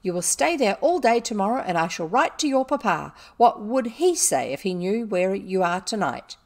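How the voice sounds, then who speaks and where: A woman's voice: medium pitch, sing-song, very fast, dark tone. A woman reading a storybook, voicing a stern, imperious older schoolmistress who scolds with fierce scorn. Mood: stern, scolding.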